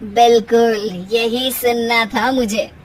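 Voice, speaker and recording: A woman's voice: on the high side, fairly even intonation, slow, heavy background noise, pitched below the performer's own voice. A woman playing an exasperated husband and son in a cartoon, scolding with weary sarcasm. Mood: accusing.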